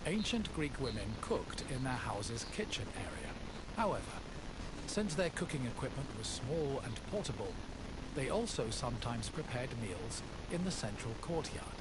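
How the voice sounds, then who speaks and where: A man giving measured, scripted educational narration about history. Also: medium pitch, sing-song, very noisy. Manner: measured, informative. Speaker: documentary narrator.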